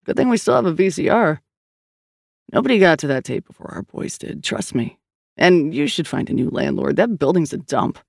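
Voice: medium pitch, very animated, normal speed, creaky, husky, dry recording, heavily acted. Brief: a narrator voicing a weary male detective partner, audiobook, dry and offhand, dead close sound. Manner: dry and dismissive. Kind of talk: audiobook character voice.

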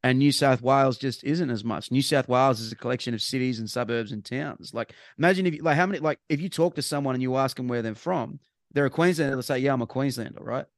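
A man's voice: mid-range voice, quick pace, no room sound, studio quality. A man with an Australian accent chatting casually as a podcast co-host, rambling and restarting his phrases, with bursts of emphasis. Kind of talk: casual sports chat.

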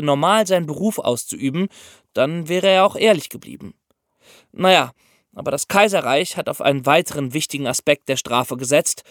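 A man's voice: fairly high pitch, no room sound, clean studio sound. A man co-hosting a podcast for young listeners, explaining ideas step by step. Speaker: podcast co-host explaining law.

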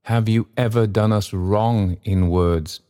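A man voicing a stern goblin spirit of a great church bell in a storybook reading, low and solemn, with slow, accusing oratory. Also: steady tone, warm tone. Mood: stern questioning. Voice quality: low and deep.

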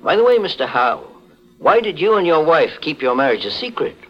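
An actor playing a calm, shrewd gentleman detective, questioning formally in the style of a 1940s radio mystery. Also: fairly high pitch, faint music behind. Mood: probing, composed. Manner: measured, probing, formal questioning. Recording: muffled vintage 1940s radio recording.

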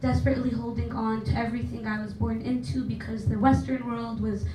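A young woman from Jordan with an American accent performs spoken word poetry, a native Arabic speaker pressing each line with rhythmic emphasis. Her voice sits a little high and is heard from a distance. The room is echoey and there is a noisy hum in the background. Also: flat delivery, a little fast. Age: 20s. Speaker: poet at open mic. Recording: roomy, distant, noisy venue.

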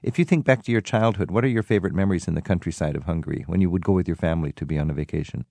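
A man hosting a travel radio show, asking curious quick follow-up questions in a conversational interview. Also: low voice, creaky, mellow, no room sound.

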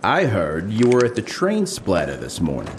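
An actor playing a smug, needling police detective in an audio drama, flippant and taunting. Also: male voice, on the low side, wildly swooping pitch, normal speed, noisy background. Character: needling, smug male police detective with a round red face. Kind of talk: full-cast audio drama acting.

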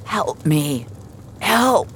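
A woman's voice: low voice, big pitch swings, extremely slow, slight background noise, full character voice. A woman voicing a desperate, injured man begging for his life in an audio drama. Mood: panicked.

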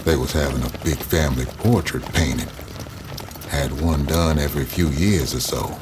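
A man's voice: a bass voice, moderate pace, background noise. An actor playing a rural Southern US man, a weary storyteller narrating his past in an audio drama. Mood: wry recollection. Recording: music behind the voice.

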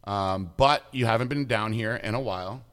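A man's voice: lowish voice, moderate pace, a bit bright. A man hosting a casual interview, upbeat and chatty with frequent restarts. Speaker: host.

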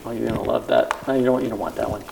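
A man co-hosting a live podcast panel, moderating in a jokey, brisk way. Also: medium pitch, steady tone, creaky. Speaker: podcast co-host. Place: live event stage. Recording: echoey room, faint noise.

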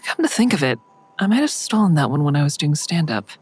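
A woman's voice: low voice, very animated, quick pace, darkish tone, slightly rough, heavily acted. An actress playing a wry daughter who narrates her own story in a natural, everyday way in an audio drama. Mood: wry, reflective. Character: wry woman narrator, a former comic, exasperated daughter.

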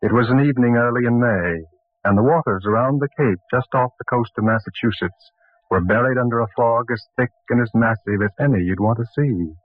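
A man plays a librarian who narrates radio mysteries. His mid-pitched voice is measured and atmospheric. The recording is dry and narrow, like old radio. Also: dry recording, close to an everyday voice. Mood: calm, intriguing. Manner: measured, storyteller-like. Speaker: actor playing story narrator.

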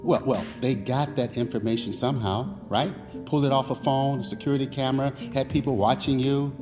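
An actor playing a confident, secretive imaginary companion in an audio drama, speaking in an assured, commanding, ominous way. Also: male voice, medium pitch, fairly even intonation, fairly quick, lightly acted. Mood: pressing, assured. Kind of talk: dramatic audio fiction acting. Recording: long hall-like reverb on voice. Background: prominent music.

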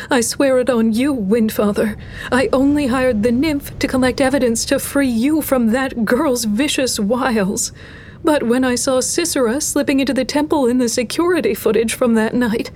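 A woman voicing a fervent, grovelling high priestess in audio fiction, pleading dramatically in a squeaky voice. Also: darkish tone, a little hiss or hum, lightly acted. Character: fawning, venomous, zealous high priestess, grovelling servant.

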